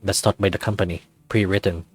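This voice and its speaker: A man voicing a gruff, taciturn dragon ferry captain in a game, clipped, reluctant and deadpan. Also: deep voice, fast, no room sound, pitched below the performer's own voice. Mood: curt, guarded.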